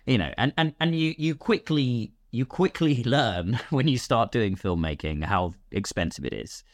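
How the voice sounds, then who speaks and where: A man's voice: mid-range voice, expressive, normal speed, no echo, clean studio sound. A British man talking casually as a podcast guest, chatty and self-deprecating about himself. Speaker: guest, actor and filmmaker.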